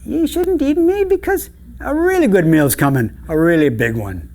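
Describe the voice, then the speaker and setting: A man's voice: sits high, normal speed, darkish tone, a little hiss or hum, pitched above the performer's own voice. A man voicing an eager billy goat in a told folk tale, urgent, polite and persuasive. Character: medium-sized billy goat, eager, polite, talking his way out. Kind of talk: storytelling.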